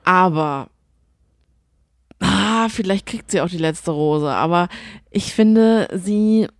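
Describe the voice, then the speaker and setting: A woman's voice: lowish voice, takes it slowly, dry recording, clean studio sound. A woman chatting casually and opinionatedly as a podcast co-host, retelling reality TV with acted-out quotes. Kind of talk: casual chat recapping reality TV.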